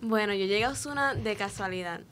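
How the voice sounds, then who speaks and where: A woman's voice: mid-range voice, fast, fairly bright tone, a little hiss or hum. A young woman with a Puerto Rican accent, a student guest casually telling a personal story in an interview. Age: young adult. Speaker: student guest on a radio show.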